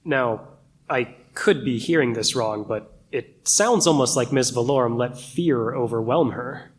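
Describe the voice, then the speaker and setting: A man's voice: medium pitch, measured pace, lightly acted. A man playing a nervous, placating radio co-host in a radio drama, hesitant and prone to stammering. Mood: hesitant, doubtful. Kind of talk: dramatic radio play acting.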